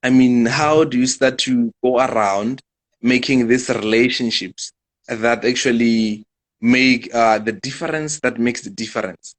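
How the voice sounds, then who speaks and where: A man hosting a live-stream interview, speaking in a measured way and leaning on repeated set phrases. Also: mid-range voice, fairly even intonation. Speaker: host.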